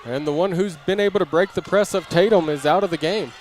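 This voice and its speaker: A man's voice: sits high, fast talker, a little mellow. A man giving live basketball play-by-play commentary from a noisy gym with a crowd. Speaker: sports play-by-play announcer. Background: crowd and bouncing basketball in gym.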